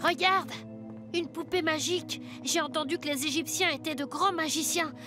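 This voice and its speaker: A loyal, excitable kid sidekick voiced by a woman in a French cartoon. The voice sits very high and boyish, with clear music underneath. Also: normal speed, close to an everyday voice. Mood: worried.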